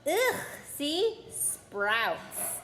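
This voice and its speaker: A blunt young boy wizard speaks in a slightly lowered, matter-of-fact way, quick to sound disgusted, as a woman reads him in a storybook. Her voice stays fairly high even so, with a roomy echo around it. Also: a little hiss or hum, exaggerated voice.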